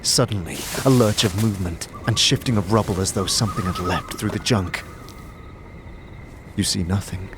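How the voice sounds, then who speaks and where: A man narrating a scripted second-person adventure story in a measured, dramatic manner. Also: deep voice, background noise. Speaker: narrator, game master. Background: music.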